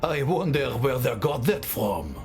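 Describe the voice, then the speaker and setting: A man playing an indignant, bitter war veteran with a gravelly voice in a video game. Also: medium pitch, big pitch swings, measured pace, a little mellow, faint music behind. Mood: disapproving.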